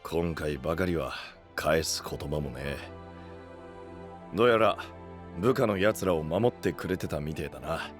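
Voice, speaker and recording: An actor playing a brash, fiercely loyal young boss in an anime voice drama, with rough, theatrical speech. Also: male voice, rumbling low, lively intonation, normal speed, over music. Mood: subdued, grateful. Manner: rough delinquent-style speech, theatrical swings.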